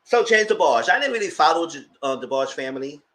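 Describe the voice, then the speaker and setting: A man with a light, high-pitched voice, chatting casually as host of a live documentary review and greeting viewers. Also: very animated, quick pace, a bit bright. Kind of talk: casual live-stream commentary.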